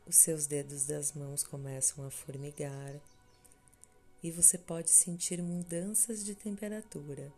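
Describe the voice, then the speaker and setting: A woman with a Brazilian accent leading a scripted guided meditation in a soothing, measured way, with long pauses between short phrases. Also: low voice, fairly even intonation, mellow. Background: background music.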